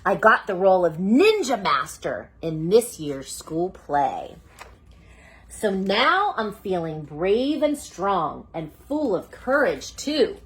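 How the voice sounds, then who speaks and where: A proud little schoolboy tells his own story, slow and deliberate, working up his courage, as a woman reads him. The voice is breathy and unhurried. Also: lowish voice, heavily acted, rough recording.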